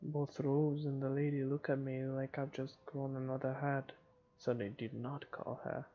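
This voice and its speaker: A man's voice: medium pitch, gravelly, mellow, lo-fi sound. A man reading a visual novel aloud as dramatic narration for a playthrough.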